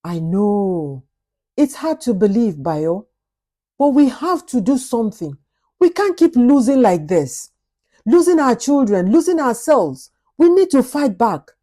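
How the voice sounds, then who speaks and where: Female storyteller as a desperate young wife: earnest, pleading, determined, mellow voice, for folktale drama. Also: a little high, big pitch swings, unhurried, voice pushed up.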